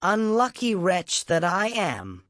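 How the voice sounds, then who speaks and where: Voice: medium pitch, huge pitch swings, slow, fairly bright tone, dry recording, clean studio sound, voice pushed up, full character voice. A narrator voicing a brutish, self-pitying male carter in a storybook, grumbling and exclaiming. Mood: wailing despair. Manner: blustering, wailing exclamations.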